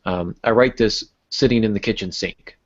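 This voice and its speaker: A man co-hosting a podcast in casual discussion, haltingly rephrasing as he thinks, on lo-fi audio. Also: fairly low pitch. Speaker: podcast co-host.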